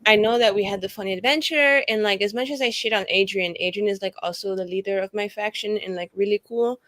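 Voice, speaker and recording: A woman's voice: mid-range voice, fairly even intonation, quick pace, fairly bright tone, dry recording, lightly acted. A woman playing a tipsy, chatty young mage in a tabletop game, rambling and effusive in informal language. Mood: tipsy, earnest.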